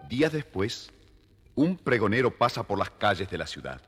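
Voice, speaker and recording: A man with a lowish voice narrates a children's fairy tale. His intonation is very lively, with faint music behind the scripted storytelling. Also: moderate pace.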